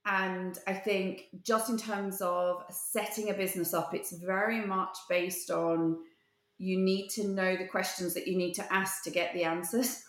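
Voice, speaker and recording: A woman CEO answering interview questions over a video call, measured and articulate with frequent pauses. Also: mid-range voice, little pitch movement, a bit bright, roomy sound. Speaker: company CEO, guest.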